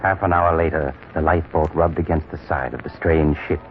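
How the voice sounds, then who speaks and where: Super low creepy polite horror host, old radio show, muffled, organ-style music loud behind. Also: male voice, steady tone, a little fast. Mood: grave. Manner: theatrical, ominous, mock-polite. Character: sinister, courteous male storyteller host of eerie tales. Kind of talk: 1940s radio horror narration.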